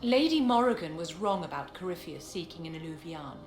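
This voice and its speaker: Woman voicing an impatient skeptical fighter lady for a game, low voice, echoey. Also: animated, a little fast, darkish tone, close to an everyday voice. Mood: impatient, distrustful. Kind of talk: video game voice acting. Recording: roomy echo, music behind.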